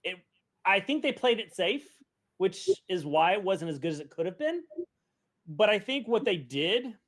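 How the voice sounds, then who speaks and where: A middle-aged man hosting a casual podcast, chatting in an animated way and often interrupting himself to restart. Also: sits high. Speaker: podcast host.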